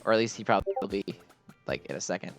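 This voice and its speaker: A man's voice: lowish voice, lively intonation, racing. Rambling man over game music. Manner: rambling, self-deprecating, informal fan talk. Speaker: gaming video host. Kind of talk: casual unscripted gameplay commentary.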